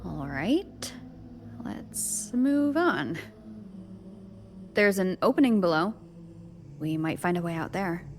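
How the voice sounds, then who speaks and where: Thoughtful young adventurer, lowish voice, big reverby room, soft music under it. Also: female voice, animated, slow pace, long pauses, slight background noise. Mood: resolute. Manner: thoughtful, reasoning aloud. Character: curious young woman adventurer piecing together clues. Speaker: protagonist investigating ruins. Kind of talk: English game dub dialogue. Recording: roomy game audio with long reverb.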